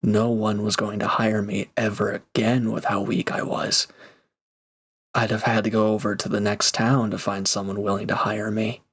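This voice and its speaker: Guy voicing a reformed villain merc for roleplay audio, lowish voice, barely moves in pitch, awkward but earnest and casual. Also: level tone, moderate pace, husky. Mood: earnest, defensive. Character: reformed male villain turned mercenary, grateful, awkwardly sincere. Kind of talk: intimate roleplay audio drama monologue.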